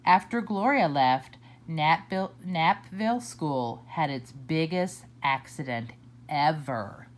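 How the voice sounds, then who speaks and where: Slow, lively woman reading a storybook. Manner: expressive, slow and deliberate, swooping pitch. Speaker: children's librarian reading aloud. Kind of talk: storybook read-aloud.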